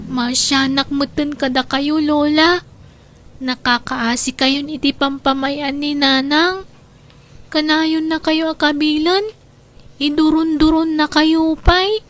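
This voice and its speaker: A woman's voice: sits high, fairly even intonation, slow pace, fairly bright tone. A woman acting a distressed young granddaughter in a radio drama, earnest and protective of her grandmother. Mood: worried, earnest.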